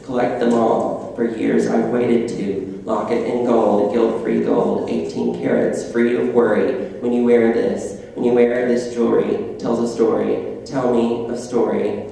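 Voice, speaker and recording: Gravelly, dark-voiced man reading poetry. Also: mid-range voice, a little slow, hardly any pauses, a little hiss or hum. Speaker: poet reading his work. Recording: echoey hall from far off.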